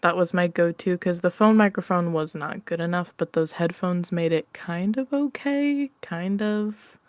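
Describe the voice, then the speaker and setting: A woman with a slightly low voice talks as a guest in a casual interview. She is candid, informal and prone to giggling. Her sound is very dry, with hardly any room around it. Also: unhurried, a little mellow, no room sound. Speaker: interview guest, audio roleplay creator. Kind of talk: casual chat.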